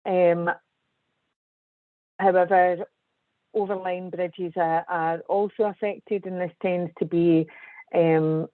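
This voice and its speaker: Scottish woman, slow and hesitant, fairly low voice, thin phone-like sound. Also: level tone, dry recording. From Scotland. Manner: measured, hesitant with frequent ums. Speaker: guest speaker, civil engineer. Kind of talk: webinar presentation. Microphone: video call. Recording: tinny dry online call audio.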